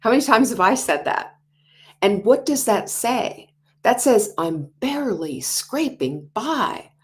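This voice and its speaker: A woman in her sixties hosting a motivational talk show, animated, acting out quoted inner voices and dropping to whispers. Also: on the high side, slow. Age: 60s. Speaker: host.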